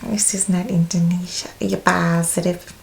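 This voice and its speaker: A woman's voice: on the low side, little pitch movement, normal speed, gravelly, slight background noise. A woman playing a self-important, condescending senior law partner on the phone in a theatrical ASMR comedy role play. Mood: incredulous. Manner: theatrical, condescending, calling people darling.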